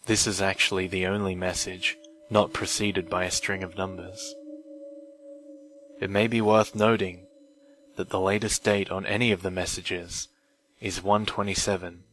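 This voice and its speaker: A man reading a scripted creepypasta in a measured, deliberate way, recorded on an old microphone. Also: low voice, flat delivery, a bit bright. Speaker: narrator of horror stories. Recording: strange noise from old mic, music. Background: music.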